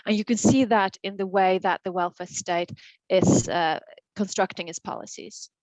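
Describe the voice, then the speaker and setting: A woman making formal academic remarks on a video call in a measured way. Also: mid-range voice, a little mellow, no room sound, rough recording. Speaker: panelist.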